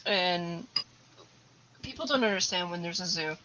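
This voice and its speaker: A woman's voice: on the low side, measured pace, background noise. A woman whose first language is English, a tarantula keeper chatting casually as a guest on a live stream and explaining things at length. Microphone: video call.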